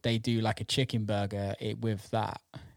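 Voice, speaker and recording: A man's voice: lowish voice, little pitch movement, normal speed, creaky, no room sound, clean studio sound. A British man chatting casually and informally, enthusiastic and given to swearing. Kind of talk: casual chat about food.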